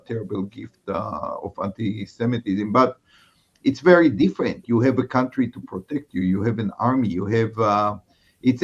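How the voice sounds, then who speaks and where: Halting Israeli man, muffled sound. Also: medium pitch. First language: Hebrew. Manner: halting, searching for words. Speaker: rabbi, guest. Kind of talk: reflective conversation.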